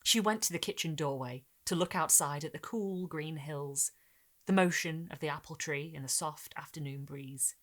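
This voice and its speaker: A woman reading a gothic short story expressively, in a measured, dramatic way with careful phrasing. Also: low-pitched. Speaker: narrator and host.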